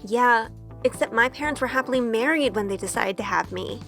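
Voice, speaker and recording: Sheltered young woman, earnest new witch, audio drama, higher voice, music behind. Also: brisk, sharp tone. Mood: nervous, hesitant. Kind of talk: audio drama acting with first-person narration. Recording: long reverb, music behind.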